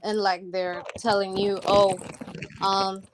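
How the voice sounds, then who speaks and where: A woman's voice: mid-range voice, little pitch movement, slow pace, a little hiss or hum. A young Kenyan woman calling in to a chat show, talking casually with many ums and emphatic asides. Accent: Kenyan, sounding American to listeners. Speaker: caller.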